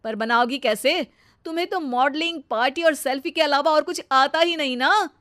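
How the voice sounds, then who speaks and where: Casting: woman narrator playing indignant young husband, sharp, reproachful, raised high voice, family storybook drama. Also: moderate pace, no echo, clean studio sound. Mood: angry scolding. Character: young husband defending his mother, stern and scolding.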